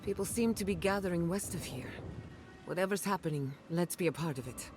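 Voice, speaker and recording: A woman playing a calm, resolute imperial envoy in a video game. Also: on the low side, a little fast, background noise, natural voice. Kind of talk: video game cutscene dialogue.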